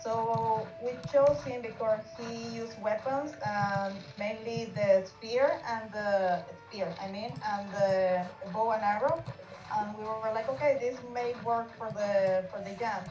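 A woman speaking English as a second language explains a project casually, halting and a little apologetic. Her fairly high voice comes over a roomy, muffled call with music underneath. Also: fairly even intonation. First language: not English. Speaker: game artist presenting team project.

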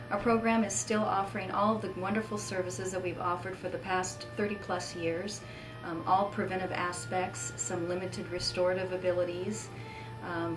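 A woman's voice: on the low side, rough recording. A middle-aged woman giving an informational talk as a college program director. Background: prominent music.

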